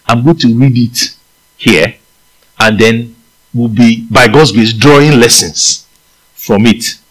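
A man's voice: slow pace, poor audio. A man with a Nigerian accent preaching a sermon, reading scripture aloud and asking his listeners questions. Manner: animated, leaps in pitch for emphasis, asks the listeners questions.

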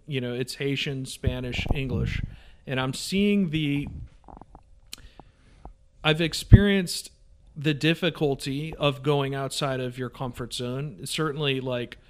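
A young man preparing for the priesthood, answering interview questions earnestly and filling pauses with um and you know. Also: medium pitch, clear, resonant tone. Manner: earnest, with many ums and you knows. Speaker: seminarian guest.

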